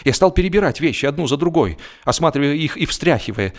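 A man narrating humorous literature expressively, with a wry, self-important theatrical delivery and dramatic pauses. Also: a little high, animated. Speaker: audiobook narrator and actor.

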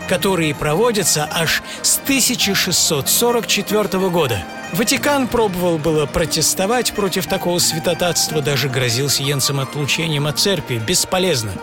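A man narrating a scripted radio travel piece in a theatrical, expressive way. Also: on the high side. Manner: theatrical, expressive, shifting from hushed to excited. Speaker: author and narrator of an audio travel show. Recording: music under the voice. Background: music.

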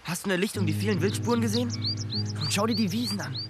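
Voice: medium pitch, expressive, racing, natural voice. A performer playing an eager, adventurous young Apache companion in a German radio drama. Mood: admiring, assured. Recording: loud music behind.

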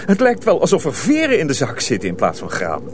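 A man's voice: on the high side, huge pitch swings, fairly quick, noisy background. A man narrating a radio drama as a storyteller, reading scripted prose dramatically.

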